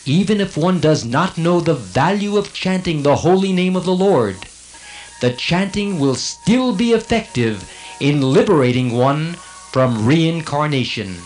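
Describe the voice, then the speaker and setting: An actor playing a righteous celestial servant of God in a dramatized scripture audiobook, arguing earnestly in a formal, declamatory way. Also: male voice, fairly high pitch, animated, unhurried, darkish tone, faint music behind, poor audio.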